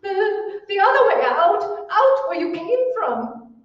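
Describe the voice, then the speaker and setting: Actress doing scared wife in old radio horror show, high shrill pitch, gaspy and halting, muffled and far off in echoey hall. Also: female voice, very animated, heavily acted. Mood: panicked. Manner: halting, gasping, repeating words. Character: terrified, desperate wife scheming to survive. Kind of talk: old-time radio horror acting, melodramatic.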